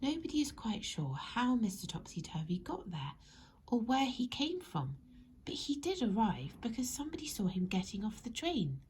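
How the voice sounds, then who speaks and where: A woman narrating a children's story expressively, in measured phrases that speak directly to young listeners. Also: a little high, very animated, darkish tone, a little hiss or hum. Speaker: storybook reader.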